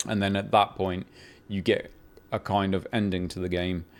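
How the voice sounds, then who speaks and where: A man chatting casually about a video game he has been playing, rambling with restarts and the odd swear, building into excited bursts. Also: low voice, moderate pace. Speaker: gaming video creator. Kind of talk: casual unscripted game talk to camera.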